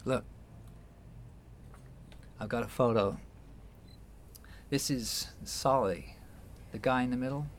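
A man's voice: mid-range voice, takes it slowly, pauses often, slight background noise. An actor in a radio play voicing an earnest, patient man who speaks hesitantly, with small stammers. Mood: insistent, gentle. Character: earnest, patient man gently persuading a younger relative. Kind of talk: naturalistic radio play acting.

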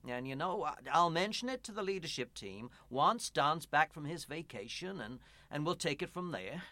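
Bright and expressive, this is a kindly pastor acted by a man in a naturalistic radio play. He stammers and placates, gently avoiding any commitment. The sound is dry and close. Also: a little high, normal speed, no room sound. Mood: placating, encouraging. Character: evasive, kindly church pastor, gently noncommittal. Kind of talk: naturalistic radio drama dialogue.

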